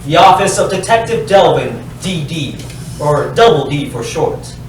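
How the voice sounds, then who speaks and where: A man narrates stage directions in a dramatic, deliberate way. His voice is slightly high, and it comes from some distance in a strongly reverberant room with faint noise. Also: lively intonation. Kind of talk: scripted narration at a staged reading. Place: live staged reading, echoey indoor space.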